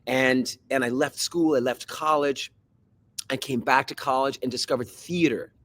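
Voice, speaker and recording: A man from Switzerland, a podcast guest who is an actor and coach, telling a personal story in a thoughtful, halting way with many repeated words. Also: mid-range voice, fairly even intonation, a bit bright. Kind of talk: reflective personal story in conversation.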